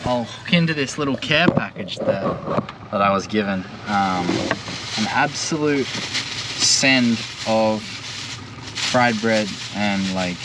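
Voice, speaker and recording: A man's voice: fairly low pitch, expressive, slow. A young Australian man chatting casually in a travel vlog, laid-back and full of filler words, recorded outdoors by a road. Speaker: travel vlogger. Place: outdoors by a road while driving a tuk-tuk. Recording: outdoor recording with clear traffic noise. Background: traffic and vehicle noise.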